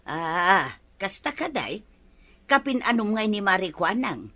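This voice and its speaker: An actress with an Ilocano accent playing a sharp-tongued, nagging middle-aged village woman in a radio comedy drama. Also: mid-range voice, big pitch swings, unhurried, exaggerated voice. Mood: prying.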